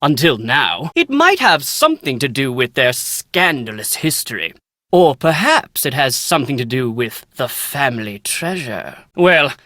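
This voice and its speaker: An actor playing a pompous, excitable British scholar and would-be author in a video game, speaking in bookish, theatrical diction. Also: male voice, mid-range voice, dry recording, studio quality. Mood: affable, wry. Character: Nigel Mukherjee, pompous, excitable British male scholar writing family history. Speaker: researcher and aspiring author. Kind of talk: video game character dialogue.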